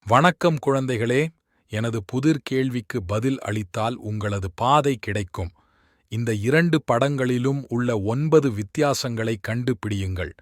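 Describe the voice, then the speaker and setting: A man's voice: mid-range voice, a little slow, no echo, clean studio sound, lightly acted. A man voicing a talking riddle tower in a children's cartoon, formal and instructive as it sets puzzles. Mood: formal, welcoming.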